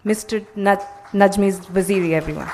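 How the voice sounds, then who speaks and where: A woman's voice: medium pitch, steady tone, normal speed, a little mellow, soft background music. A woman moderating a conference, reading a polished, ceremonial introduction of the next speaker. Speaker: event moderator. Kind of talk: scripted introduction.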